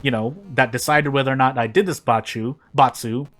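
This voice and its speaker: A man's voice: mid-range voice, fairly even intonation, a little fast, a bit bright, soft background music. A man doing casual gaming video narration and commentary in a rambling, self-deprecating way. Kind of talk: casual gameplay commentary and fanfic reading.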